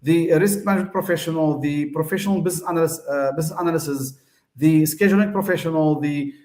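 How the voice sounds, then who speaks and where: A man's voice: a little high, quick pace, a little mellow, reverberant. A man from Tunisia, host of the show, giving a casual, hesitant explanation full of fillers and restarts.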